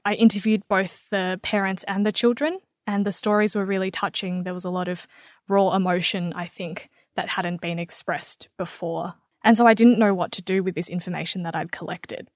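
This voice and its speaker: A woman's voice: mid-range voice, no echo. Australian woman, dry close sound. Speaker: podcast guest, writer and editor. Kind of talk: conversational interview storytelling.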